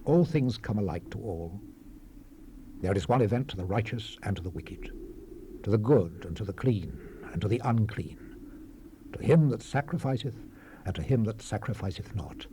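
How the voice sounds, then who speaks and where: A man playing a grave, world-weary biblical preacher in a dramatized scripture reading, measured and oratorical, with emphatic rises and hushed falls. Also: lowish voice, close to an everyday voice. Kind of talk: solemn dramatized Bible reading. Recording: hissy 1970s cassette rip, background ambience.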